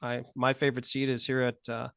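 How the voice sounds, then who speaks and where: A man's voice: mid-range voice, level tone, brisk, creaky, fairly bright tone, no echo, clean studio sound. A middle-aged American man hosting a podcast in a casual, conversational style. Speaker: podcast host.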